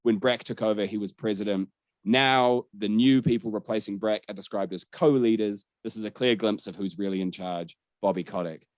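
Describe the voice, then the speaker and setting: Low-pitched man hosting a podcast, conversational. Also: moderate pace, dry recording. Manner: conversational, candid, sweary. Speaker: podcast host. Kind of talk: casual news discussion on a gaming podcast.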